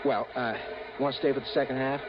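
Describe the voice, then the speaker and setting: An actor playing a smitten, boyish assassin from out of town in a 1950s radio drama, hesitant, stammering and earnest. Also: male voice, medium pitch, fairly even intonation, fast, crowd noise, natural voice. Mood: chatty, casual. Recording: muffled, noisy old radio recording.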